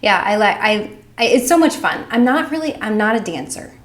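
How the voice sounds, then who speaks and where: A woman's voice: mid-range voice, animated, brisk. A young adult woman co-hosting a podcast, talking in a laid-back way with swearing and laughs. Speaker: podcast co-host. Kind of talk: casual chat.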